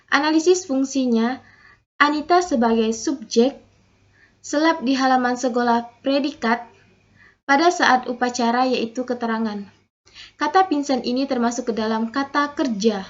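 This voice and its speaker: A young woman with a high voice works through her prepared presentation in a steady, methodical way, reading out example sentences and breaking them down one by one. The recording is dull and lo-fi. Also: normal speed. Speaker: student presenting a final assignment.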